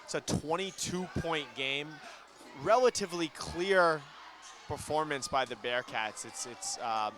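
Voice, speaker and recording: A man calling a school basketball game live, brisk play-by-play that gets loud on big shots, with a ball bouncing in the gym behind him. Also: fairly high pitch. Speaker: play-by-play commentator.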